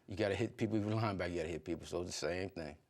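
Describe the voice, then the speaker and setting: Male coach from Virginia, middle-aged, lower register, rapid casual delivery with colloquial phrasing. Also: darkish tone. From Virginia, United States. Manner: colloquial, peppered with "you know". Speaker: high school football coach, interview guest. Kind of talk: casual chat.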